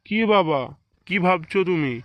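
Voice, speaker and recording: A man's voice: high voice, normal speed, lightly acted. Man voicing nosy, blustering older village man. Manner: questioning, indignant, repetitive. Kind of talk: comedy cartoon dubbing in colloquial village speech.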